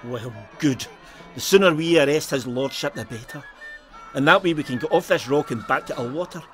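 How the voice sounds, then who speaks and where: An actor with a Scottish accent playing a plain-spoken, uneasy, sceptical police constable in an audio drama, grumbling in colloquial speech. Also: male voice, fairly high pitch, fairly quick, soft background music, lightly acted. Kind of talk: full-cast audio drama acting.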